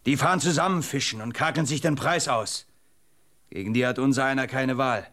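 A man's voice: mid-range voice, fairly quick, fairly bright tone, close to an everyday voice. An actor playing a proud, impatient young fisherman husband in a radio play, brusque and dismissive in manner. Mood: grumbling. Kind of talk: German radio play acting.

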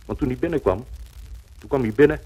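A male correspondent with a husky voice giving a measured, emphatic eyewitness recollection for 1950s radio. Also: mid-range voice, darkish tone, rough recording.

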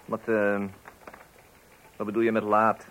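An actor playing a mild, easily unsettled new father in his forties in a radio play, with a hesitant, halting way of talking. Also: lowish voice, monotone, fairly bright tone. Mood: taken aback. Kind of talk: radio play acting and wry narration. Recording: noisy old radio play recording.

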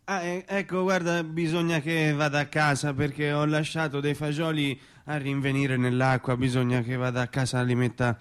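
Meek restless churchgoer, male actor, fairly high voice, halting and hesitant, radio theatre. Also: level tone, clear, resonant tone, close to an everyday voice. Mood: awkward, evasive. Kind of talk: naturalistic radio theatre dialogue.

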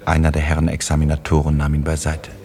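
A man narrating a literary radio play as a composed, dryly ironic storyteller, with a husky voice in measured delivery. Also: monotone, a little mellow. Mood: composed, wry. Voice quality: very deep, husky. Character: wry, composed male storyteller of a literary novel.

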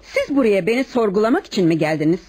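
An actress in a radio drama playing a composed but defensive unhappy wife who answers questioning with hesitant, cool politeness. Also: female voice, medium pitch, sing-song, quick pace, a little mellow, breathy edge. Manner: hesitant openings, coolly polite, parrying questions. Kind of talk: radio theatre acting.

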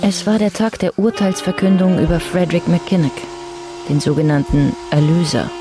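A woman's voice: lowish voice, steady tone, moderate pace, music in the background, close to an everyday voice. A woman as the sober, factual narrator of a crime thriller radio drama.

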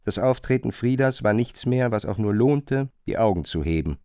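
A man narrating a literary radio drama in a measured, pointed, questioning manner. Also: on the low side, animated, warm tone, smooth, no echo, studio quality, natural voice.

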